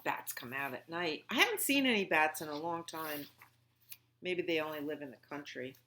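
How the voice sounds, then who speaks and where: A woman hosting a library storytime for young children, speaking in simple phrases with questions and pauses as she chats and reads aloud. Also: deep voice, expressive, pushed and bright, a little hiss or hum. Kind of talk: children's storytime with songs, rhymes and read-aloud.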